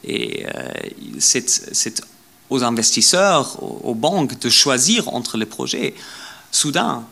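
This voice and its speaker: Gravelly, halting non-native lecturer man. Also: mid-range voice, lively intonation, pushed and bright. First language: not French. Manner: halting, many repeated words and fillers. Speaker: guest lecturer. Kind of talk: academic lecture.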